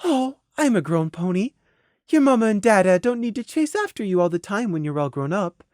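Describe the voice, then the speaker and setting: A woman voicing a refined unicorn mare in a storybook, soft, polite and hesitant. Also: mid-range voice, very animated, a little fast, no room sound, clean studio sound, voice pushed up, natural voice. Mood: forced composure. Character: refined unicorn mare mayor, kindly masking despair.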